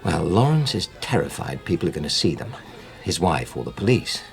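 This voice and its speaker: Radio play lead: a guarded, resolute middle-aged man, low voice with expressive swings, understated delivery. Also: a little fast, gravelly, full character voice. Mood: measured, determined. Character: determined, politely guarded man hunting his blackmailer. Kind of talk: naturalistic radio drama acting.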